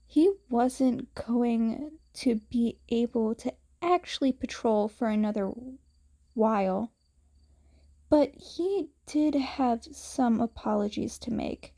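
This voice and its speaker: A woman's voice: a little high, slow, mellow. A woman reading scripted fiction aloud as a story narrator. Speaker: fanfiction podfic narrator.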